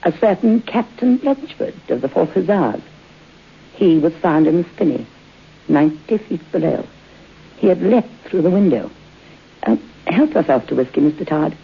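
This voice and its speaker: A woman's voice: low voice, lively intonation, moderate pace, vocal fry, breathy edge, heavily acted. An older woman acting an imperious old aristocratic lady in a radio horror drama, speaking formally and commandingly with theatrical delivery. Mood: grave storytelling. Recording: dull, muffled old radio broadcast.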